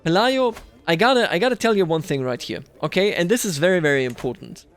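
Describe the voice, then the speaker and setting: A man's voice: high-pitched, animated, fast talker, clear, resonant tone. A man hosting a video game roleplay series, giving casual commentary and thinking aloud conversationally as he plays. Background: background music.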